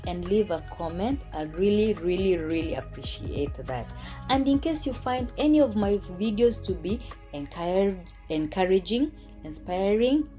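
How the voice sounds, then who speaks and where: A Kenyan-accented woman telling a personal story as a vlogger, speaking deliberately with pauses and emphatic repetition. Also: fairly low pitch, mellow. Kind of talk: personal vlog storytelling to camera.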